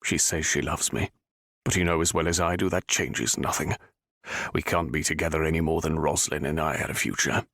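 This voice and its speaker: A man voicing a heartsick young gentleman who speaks in a low, bitter murmur. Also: fairly even intonation, a little fast, dry recording, clean studio sound, exaggerated voice.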